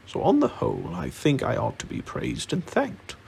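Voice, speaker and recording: A man playing a grave, proud old wizard who speaks in a slow, admonishing way for a storybook. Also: mid-range voice, huge pitch swings, vocal fry, darkish tone, slight background noise, exaggerated voice. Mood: testy, self-justifying.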